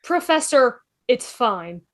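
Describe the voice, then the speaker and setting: A woman's voice: on the high side, sing-song, slow. A woman playing a clever, curious, chatty time traveller in an amateur drama table read, breezy and inquisitive. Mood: reassuring. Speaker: table read performer and narrator. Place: online video call table read. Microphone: video call.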